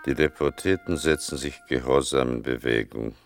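An actor playing a grave son who narrates his mother's wartime story in a deliberate, restrained radio-play style. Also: rumbling low, vocal fry, faint music behind. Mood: sombre, measured. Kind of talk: slow, measured literary narration in a radio play.

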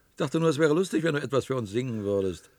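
An actor playing a coarse, needling, grudging householder in a German radio play, talking in a blustering colloquial way. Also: male voice, medium pitch, animated, fairly quick, darkish tone, dry recording, lightly acted. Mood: placating.

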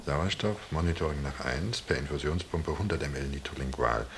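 Curt, very deep senior doctor. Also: male voice, a little fast, noisy background. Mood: commanding, urgent. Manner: curt, authoritative. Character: commanding, terse senior hospital cardiologist. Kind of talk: radio drama acting.

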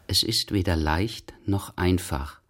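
A man with a standard German accent reads scripted literary radio narration in a measured, deliberate way. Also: low-pitched, noisy background.

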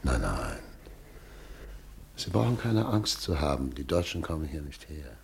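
A calm camp doctor, with a deep, gravelly, husky voice. He speaks slowly and soothingly in a radio play. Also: heavily acted. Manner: soothing, steady.

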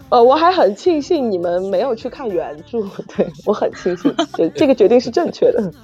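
A woman chatting casually as a guest author, halting and repeating phrases, with self-deprecating giggly humor. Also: on the high side, very animated, a little mellow. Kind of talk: casual unscripted chat. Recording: muffled lo-fi audio, music behind. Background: saxophone background music.

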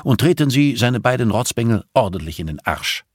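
A man's voice: mid-range voice, expressive, fairly quick, breathy edge, no room sound, studio quality, pitched above the performer's own voice. A man voicing an arrogant, irritable, sardonic investigator with a clipped, condescending manner in an audiobook. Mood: commanding.

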